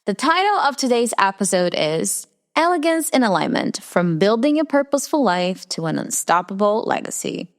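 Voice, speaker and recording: Brazilian woman, portuguese native, americanized english accent, solo podcast host, earnest and reflective delivery, animated pitch. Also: moderate pace, hardly any pauses. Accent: Americanized English with Brazilian Portuguese roots. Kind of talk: scripted solo podcast monologue. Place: her room.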